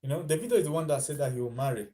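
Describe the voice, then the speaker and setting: Chatty Nigerian man with a mellow voice. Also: on the high side, lively intonation, rapid-fire. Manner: chatty, mixes in Pidgin, laughs at his own lines. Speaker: vlogger. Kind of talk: casual commentary vlog.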